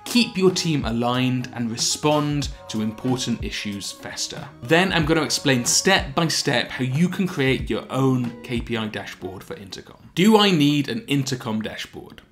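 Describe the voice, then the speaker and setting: A man in his thirties presents a scripted software tutorial. His voice sits at a medium pitch and moves with lively, varied intonation. He explains step by step, leaning on key words with deliberate emphasis. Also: soft background music. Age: 30s. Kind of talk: scripted explainer narration to camera.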